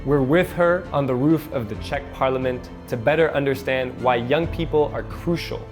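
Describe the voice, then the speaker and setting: A man hosting a video series, narrating casually and asking interview questions in quick, chatty phrases. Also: mid-range voice, over music. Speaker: host and interviewer. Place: outdoors around a city.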